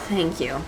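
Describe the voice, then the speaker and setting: A woman playing a shy, adorkable young writer in an audio roleplay, speaking hesitantly with stammers, nervous giggles and apologetic phrasing. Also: medium pitch. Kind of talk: intimate one-sided audio roleplay acting. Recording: clear background noise, cafe ambience effects. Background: coffee shop sound effects.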